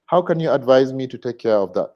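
A man's voice: medium pitch, animated, fast. A man with a Nigerian accent asking an unscripted question as an attendee on an online webinar. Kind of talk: unscripted question on a group call. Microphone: video call. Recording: dull, lo-fi video call audio.

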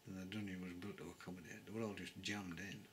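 An older man sits on a sofa at home and explains history into a close webcam. His voice is low, and he speaks in a measured way with pauses as he searches for words. Clear background noise runs under the recording. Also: flat delivery, fairly bright tone. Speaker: travel vlogger. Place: living room, seated on a sofa.

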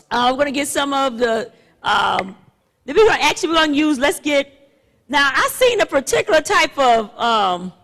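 A middle-aged woman pastor leading a church service on a handheld mic, chatty and loose, halting with fillers and restarts. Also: high voice, creaky, a bit bright. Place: church sanctuary, indoors. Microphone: handheld microphone. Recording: long church hall reverb.

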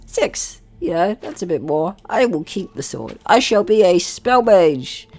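A man's voice: high voice, sing-song, slow, darkish tone. A man doing casual let's play commentary, with playful asides and game text read aloud. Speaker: gaming video host.

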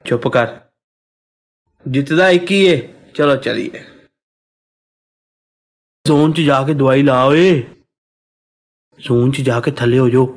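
A man voicing an excitable Punjabi squadmate in a game, calling out short exclamatory tactical orders with a Punjabi accent. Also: medium pitch. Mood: commanding. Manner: exclamatory, drawn-out calls. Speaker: game voice pack character.

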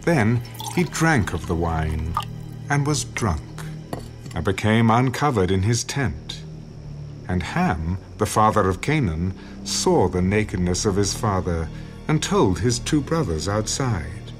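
A man reads scripture as a storyteller. His fairly low voice moves slowly but with lively, wide inflection. The narration is measured and scripted, set over clear background music.

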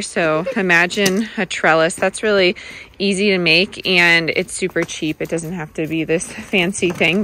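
A woman's voice: medium pitch, pushed and bright, a little hiss or hum. A young woman vlogging casually to a handheld phone, chatty and upbeat, with filler words. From United States. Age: young adult. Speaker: family vlogger. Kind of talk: casual vlog talk to camera. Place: indoors room, then snowy backyard outdoors. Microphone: phone held at arm's length.

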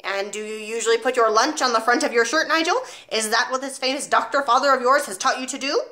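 Brief: bellowing, scornful giant headmistress, read by a woman, loud and barking. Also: a little high, fast talker.

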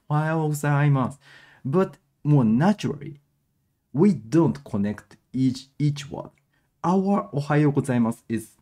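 A young Japanese man with a Japanese accent, teaching a live-streamed lesson in halting English with many restarts, switching to quick Japanese asides, at a webcam in a small room. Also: fairly high pitch, mellow.

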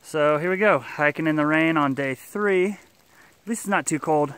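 A man's voice: fairly high pitch, normal speed, a bit bright, clean tone, no echo. A man in his thirties chatting casually while hiking outdoors on a forest trail, filmed on a handheld camera at arm's length. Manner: upbeat, chatty, out on the move. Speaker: vlogger hiking a long trail. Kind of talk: casual vlog narration.

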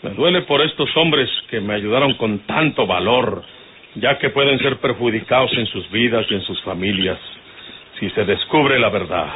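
Male actor as bossy older outlaw, rural northern Mexican accent, lowish voice, folksy radio-drama delivery, tinny noisy recording. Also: moderate pace, close to an everyday voice. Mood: stern, fatherly. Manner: folksy, commanding, paternal.

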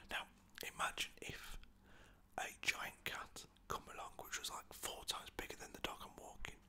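A man from Lancaster, softly whispering rambling stories about pets as a dog walker. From Lancaster, England. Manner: soft whispering, rambling and chatty. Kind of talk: whispered ASMR storytelling.

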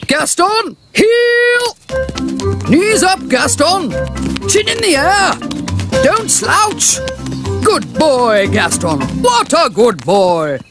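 Bossy, high-pitched British pet school teacher. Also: female voice, big pitch swings, loud music behind the voice, exaggerated voice. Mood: commanding, encouraging. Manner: brisk, commanding, hearty. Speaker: pet trainer. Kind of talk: children's cartoon acting.

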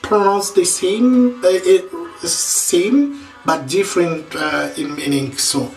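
A man's voice: high voice, takes it slowly, creaky. Rambling Congolese-French man in echoey bathroom. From Democratic Republic of Congo. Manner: rambling, repetitive, many fillers. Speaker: video host. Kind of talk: casual home vlog. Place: small home bathroom, indoors. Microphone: camera mic from across the room. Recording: roomy echo, distant camera mic, music behind.

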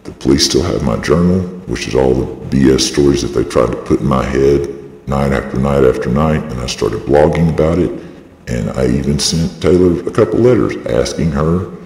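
A man delivers an aggrieved personal monologue in an extremely low, gravelly voice altered by a voice changer. He rambles on insistently, like a video blogger making a statement. The sound is very echoey. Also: normal speed, a little mellow. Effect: voice-changing device lowering the voice. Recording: processed, echoey voice with long reverb.

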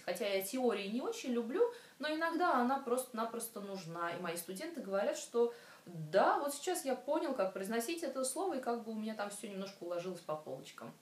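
A woman in her thirties teaching a language lesson straight to camera, talking casually with frequent hesitations and slowing down for careful examples. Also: mid-range voice. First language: Russian. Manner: chatty, many hesitations, slow careful Polish examples. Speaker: Polish language teacher and show host. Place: small room, indoors. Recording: echoey room, voice from some distance.

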